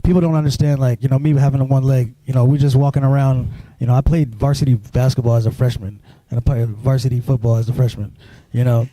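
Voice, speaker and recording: A man telling his life story as a guest on a live stream, halting and filling gaps with "you know" and "um". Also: medium pitch, fairly even intonation, mellow, dry recording, poor audio. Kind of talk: personal storytelling in an interview.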